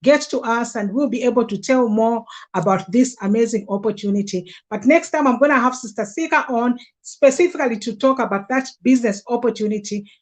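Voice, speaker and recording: Earnest woman hosting, slightly high voice. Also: fairly quick, few pauses, a little mellow. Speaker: host promoting health products. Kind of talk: conversational livestream hosting and product pitch.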